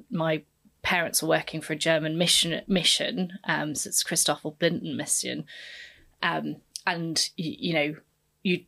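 A woman's voice: deep voice, a bit bright. A woman who grew up in East Africa, a podcast guest, reflecting on her past in a casual interview and searching for her words.

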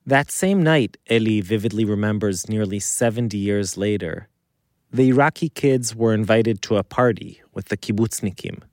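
A man narrating a scripted documentary as host, phrasing deliberately with dramatic pauses, close on the microphone. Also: lowish voice, a little mellow, no echo.

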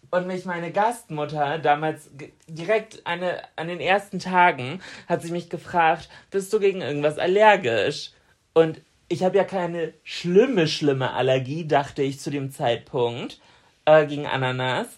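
A woman in her thirties, a podcast co-host, telling an anecdote in casual chat and acting out what she said back then. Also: deep voice. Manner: animated storyteller, laughs often, acts out her own quotes.

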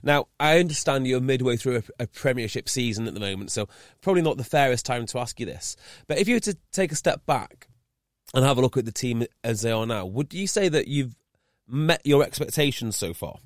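Young man, from North Wales, podcast host, chatty, stumbles and restarts, mid pitch. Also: animated, brisk, no room sound, clean studio sound. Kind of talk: casual interview chat.

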